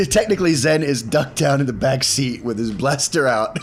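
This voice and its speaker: A man's voice: mid-range voice, animated, normal speed. A man playing a tabletop role-playing game, chatting casually about moves and rules. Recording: background noise with room ambience.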